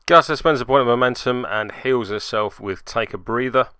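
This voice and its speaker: Clear, dryly sarcastic man commentating a game. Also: medium pitch, normal speed, pushed and bright, no echo. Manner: dry, self-mocking, sarcastic humour. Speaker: player and narrator of a tabletop game match.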